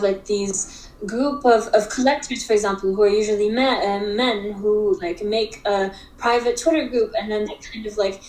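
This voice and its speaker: Halting young woman from Africa, roomy sound. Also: mid-range voice. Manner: halting, filler-heavy speech with frequent restarts. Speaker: interview guest, digital art expert. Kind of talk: casual conversational interview. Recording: roomy echo.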